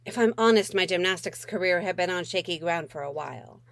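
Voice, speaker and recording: A woman's voice: on the low side, brisk, a bit bright, no echo. A woman author reading her middle grade novel aloud in a wry first-person voice with dramatic emphasis.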